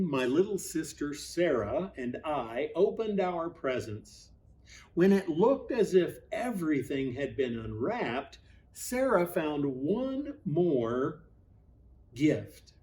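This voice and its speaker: A man, a pastor, reads a children's storybook aloud expressively, with dramatic pauses. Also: fairly high pitch, mellow, a little hiss or hum.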